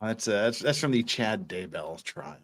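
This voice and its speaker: A man's voice: lowish voice, lively intonation, normal speed, crowd noise. A man in his fifties hosting a livestream, chatting casually and reading out viewer comments in a rambling, jokey, giggly way. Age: 50s. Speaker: livestream host.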